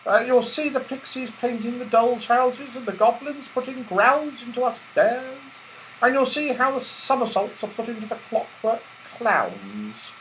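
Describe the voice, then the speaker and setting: A man reading a storybook, voicing a polite, gently fussy teddy bear footman who explains things courteously. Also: very high voice, lively intonation, unhurried, slight background noise, pitched above the performer's own voice, exaggerated voice, poor audio. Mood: welcoming.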